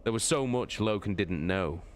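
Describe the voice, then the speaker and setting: Low-voiced man reading dramatic narration. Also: animated, normal speed, slight background noise. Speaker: host and dramatic reader of a livestream. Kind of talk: expressive audiobook narration.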